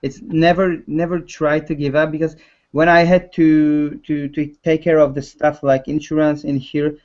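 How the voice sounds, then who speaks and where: A man with a Polish accent, a concept artist speaking English as a second language in a casual chat, halting and often restarting his words. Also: fairly high pitch, flat delivery, a little mellow, muffled recording.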